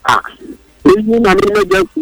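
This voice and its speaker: Very high gravelly caller. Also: male voice, huge pitch swings, music in the background. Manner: halting, repeats himself.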